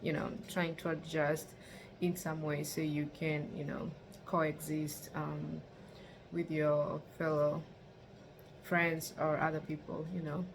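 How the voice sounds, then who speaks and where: A woman's voice: low-pitched, flat delivery, slow pace, background noise. A young woman from Kenya, vlogging in a casual, rambling way with lots of fillers. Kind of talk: casual talk to camera.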